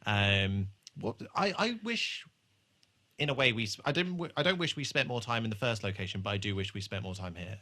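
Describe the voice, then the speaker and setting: A man's voice: on the low side, expressive, a little fast, bright, forceful tone. A man co-hosting a podcast, chatting casually and informally about a TV show, with some swearing. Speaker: podcast host. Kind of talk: casual chat reviewing a TV episode.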